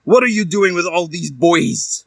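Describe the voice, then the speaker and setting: A man voicing a jealous, indignant vampire boyfriend, shouting melodramatically in a story reading. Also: sits high, expressive, a little slow, no room sound, voice pushed up.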